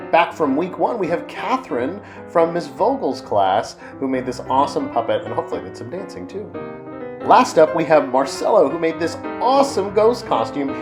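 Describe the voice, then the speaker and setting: An encouraging, expressive man teaching a children's drama lesson and narrating a storybook. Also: a little high.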